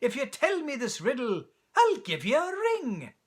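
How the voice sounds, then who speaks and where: Guy doing a cheeky lil squirrel boy from a kids book, sing-song taunts, really swoopy high voice. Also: wildly swooping pitch, a little slow, exaggerated voice. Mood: taunting, sing-song. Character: cheeky young male squirrel, impertinent, singing riddles.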